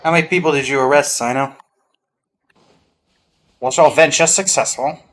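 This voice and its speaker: A man's voice: fairly high pitch, normal speed, a bit bright, a little hiss or hum. A man giving casual gameplay commentary, reading dialogue aloud and adding offhand remarks. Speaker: game streamer.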